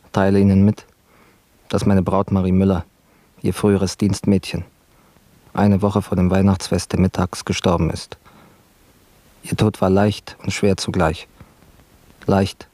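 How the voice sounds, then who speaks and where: Radio play: bereaved man of letters, bitter but controlled, formal, very low and even. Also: no room sound. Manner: measured, formal, restrained. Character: grieving, bitter newspaper editor who lost his fiancée. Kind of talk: literary radio drama letter monologue.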